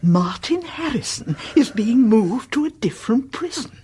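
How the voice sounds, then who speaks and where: An actress playing a kindly, stoical elderly British woman in a radio play, motherly and fussing, with a breathy voice. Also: on the high side, big pitch swings, normal speed, full character voice. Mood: chatty, pleased. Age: older. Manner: motherly, chatty, gently fussing. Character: kindly, fussing elderly widowed-grandmother type neighbour, stoical.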